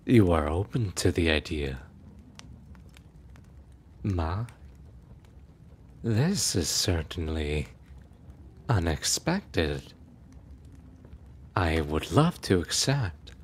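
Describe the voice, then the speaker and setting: An immortal prince , acted by a man, speaks in a profoundly deep, slow voice. He is formal and suave, teasing yet possessive and quietly dangerous. It is an ASMR roleplay with noticeable ambient noise behind him. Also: full character voice. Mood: courtly, charmed.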